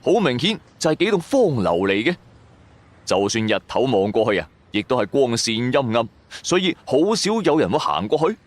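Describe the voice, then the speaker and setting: A man's voice: medium pitch, very animated, normal speed, dry recording. A man narrating a scripted first-person audio drama in a colloquial, suspenseful storytelling manner.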